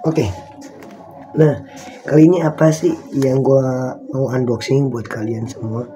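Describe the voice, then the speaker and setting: A hoarse young man, hosting a casual live-stream unboxing in rambling slang and clearing his throat often. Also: medium pitch, fairly even intonation, measured pace, mellow, soft background music, low-quality recording. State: hoarse from a cough. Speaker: channel host.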